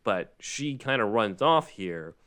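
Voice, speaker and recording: A man's voice: on the low side, sing-song, slow, a bit bright. A man hosting a podcast in a casual, rambling, conversational way. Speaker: podcast host. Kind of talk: casual podcast discussion of a script.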